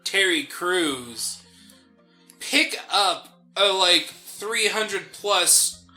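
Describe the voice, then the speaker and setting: A man's voice: high voice, very slow, soft background music. An American man co-hosting a gaming show, telling stories in casual banter and acting out the reactions he quotes. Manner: animated storyteller who acts out quoted reactions. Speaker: gaming podcast co-host. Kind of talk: casual banter while playing a video game.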